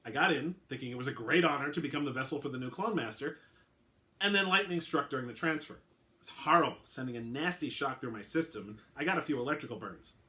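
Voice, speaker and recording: A man's voice: medium pitch, fast talker, sharp tone, natural voice. A man voicing a middle-aged male clone henchman, earnest and rattled, who keeps correcting himself as he confesses in a story reading. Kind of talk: dramatic reading of a short story.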